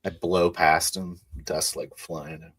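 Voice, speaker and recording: Very deep-voiced male tabletop player. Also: level tone, slow, breathy edge, dry recording. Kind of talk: improvised roleplay narration.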